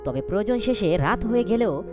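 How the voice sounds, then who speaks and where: A woman narrating a scripted first-person story with dialogue in an expressive storytelling manner. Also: medium pitch, fairly quick. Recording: loud background music.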